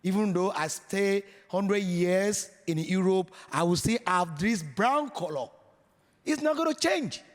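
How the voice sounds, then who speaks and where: A man's voice: sits high, animated, a little slow. A man at a discussion speaking emphatically and repeating his point. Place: large hall. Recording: long hall reverb.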